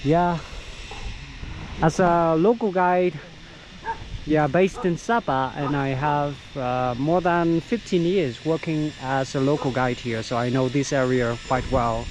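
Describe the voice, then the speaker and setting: A middle-aged man whose first language is Vietnamese talks slowly, with a fairly high voice. He narrates outdoors like a local guide, pausing often and reaching for words. Faint noise sits behind him. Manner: unhurried, halting, with frequent fillers. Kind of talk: casual walking vlog narration. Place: outdoors on countryside trails.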